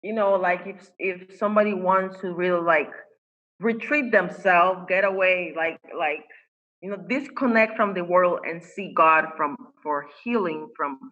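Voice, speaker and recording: Earnest Spanish-speaking woman, bright tone. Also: lowish voice, measured pace, lo-fi sound. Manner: earnest, many "like" fillers and restarts. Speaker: guest, life coach. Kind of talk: faith-based interview conversation. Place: home.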